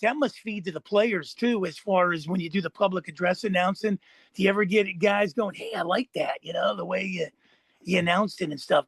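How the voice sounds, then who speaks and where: American podcast host guy, pretty high voice, rambly chat, dry sound. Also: husky, dry recording. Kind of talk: casual chat interview.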